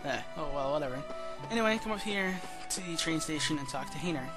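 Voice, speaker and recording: A man's voice: fairly high pitch, lively intonation, fast talker. A man giving casual, rambling video game commentary as a let's-player, in an offhand manner. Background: game music.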